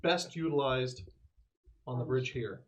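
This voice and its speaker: A man's voice: medium pitch, unhurried. A man playing a tabletop roleplaying game, talking in character as he polls the group, on a slightly dull recording.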